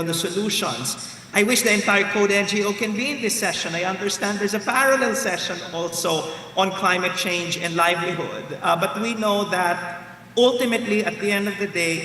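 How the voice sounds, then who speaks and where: A man with a Filipino accent giving a talk over a video call from a hotel room, speaking loudly with many fillers. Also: sits high, slight background noise. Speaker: guest lecturer. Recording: echoey, roomy, distant video call audio.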